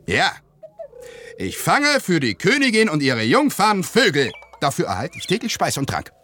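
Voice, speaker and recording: Jolly blustering bird catcher, high-voiced. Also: male voice, wildly swooping pitch, normal speed, pushed and bright, full character voice. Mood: chatty. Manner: comic, blustering, hesitant interjections. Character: jolly, boastful, cowardly bird catcher in feathers. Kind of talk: theatrical radio play acting. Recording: music behind the voice.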